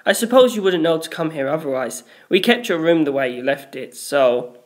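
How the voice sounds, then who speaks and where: A woman's voice: deep voice, animated, moderate pace, darkish tone. A woman voicing an awkward, nervy teenage boy who is defensive and blurts things out in a flustered way. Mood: nervous.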